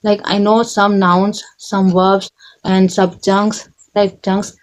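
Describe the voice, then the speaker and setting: A young Indian woman talks in slow, careful learner's English, stopping to rephrase and repeating her points. Her voice sits at a middling pitch. She speaks from her village home, with "right?" tagged onto her sentences. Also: flat delivery. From Chhattisgarh, India. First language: Chhattisgarhi. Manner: halting learner's English, self-corrects, repeats phrases, tags "right?". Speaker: English learner vlogger and tutor. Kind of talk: unscripted personal talk.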